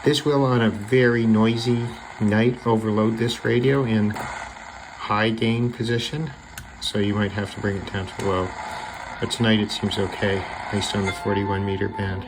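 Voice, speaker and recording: A man's voice: on the low side, steady tone, normal speed. A man chatting casually and unscripted as he demonstrates a shortwave radio, thinking aloud into a camera on a small tripod. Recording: noisy, radio static and hiss. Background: shortwave radio noise.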